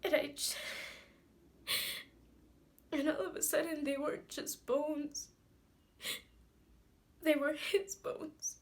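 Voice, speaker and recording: A woman performing a dramatic monologue as a guarded, hot-headed grieving daughter, talking colloquially and haltingly, with repeated phrases. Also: fairly low pitch, steady tone, gravelly. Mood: tearful, anguished.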